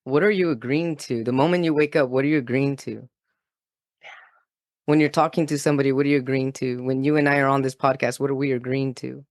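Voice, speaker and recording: A man's voice: medium pitch, fairly even intonation, quick pace, dry recording, studio quality. A man hosting a podcast, effusive and enthusiastic, repeating questions and praising his guest. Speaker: podcast host. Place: remote, in California.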